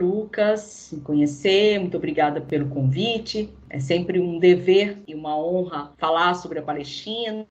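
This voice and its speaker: A woman's voice: on the low side, highs cut off. A woman with a Brazilian accent gives a didactic explanation as an interview guest, repeating phrases for emphasis. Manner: didactic, emphatic repetition for stress. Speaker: podcast guest, researcher and activist. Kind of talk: explanatory historical account in an interview.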